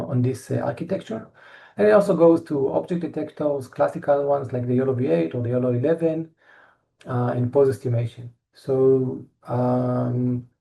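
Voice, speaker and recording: A middle-aged man with an Israeli accent giving a technical presentation, halting often with fillers and restarts. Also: medium pitch, creaky, dark tone. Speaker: tech company presenter.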